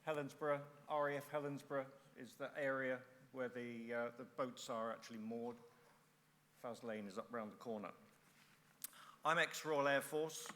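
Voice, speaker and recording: Middle-aged ex-serviceman from England, hesitant floor speech; bright, forceful tone, long hall reverb. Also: mid-range voice. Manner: halting, many uhs and restarts. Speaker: first-time conference speaker, ex-air force. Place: large conference hall.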